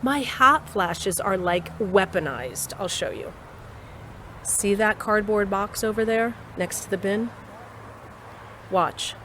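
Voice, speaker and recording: A woman's voice: medium pitch, takes it slowly, long pauses, a bit bright, slight background noise. An actress playing a frazzled, menopausal woman with secret powers in an audio drama, emphatic and wry. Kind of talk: audio drama acting, naturalistic dialogue.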